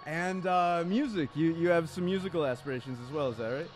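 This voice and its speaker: A man's voice: on the high side, brisk, soft background music. Easygoing male radio host. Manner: easygoing, laughs often, encouraging. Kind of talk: casual interview.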